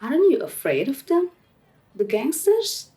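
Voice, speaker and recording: A woman playing a gentle, lonely village woman in love in a radio drama. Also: sits high, huge pitch swings, unhurried, full character voice. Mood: sad, worried. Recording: faint background noise.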